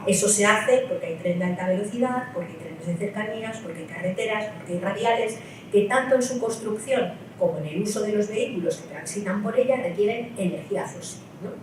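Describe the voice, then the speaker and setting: Spanish woman giving a talk, big roomy hall, sounds a bit far off, faint rumble, mid-pitched. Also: a little fast. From Spain. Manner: explanatory, frequent rhetorical "¿no?" tags. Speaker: speaker at a political meeting. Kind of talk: public lecture. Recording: echoey hall, mic at a distance.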